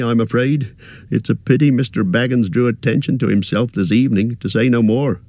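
A man's voice: medium pitch, darkish tone, no room sound, pitched above the performer's own voice, natural voice. A man reading aloud, voicing a fussy, anxious middle-aged male innkeeper who chatters in a rustic way.

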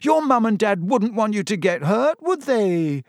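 A man's voice: a little high, big pitch swings, moderate pace, a little mellow, dry recording, clean studio sound, voice pushed up. A man voicing an anxious, earnest teenage schoolgirl with a pleading manner in an audiobook. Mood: tearful pleading. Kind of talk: audiobook character voice.

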